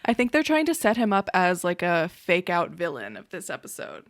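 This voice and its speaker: A woman's voice: lowish voice, wildly swooping pitch, moderate pace, dry recording. A woman co-hosting a casual TV recap podcast, with a dry, joking way of talking. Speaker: podcast co-host.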